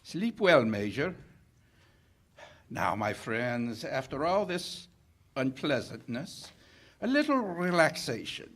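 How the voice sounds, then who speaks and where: A man's voice: fairly high pitch, very animated, takes it slowly, long pauses, a bit bright, full character voice. A man acting a suave, corrupt middle-aged police captain in 1940s radio theater style, unctuous, wry and theatrically courteous. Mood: charming. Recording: live stage show with music behind.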